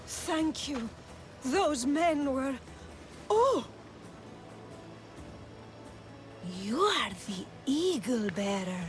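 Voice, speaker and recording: An actress playing a composed village doctor in a dramatic video game set in ancient Greece. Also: female voice, sits high, sing-song, slow, exaggerated voice. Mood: relieved. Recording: game cutscene with music behind.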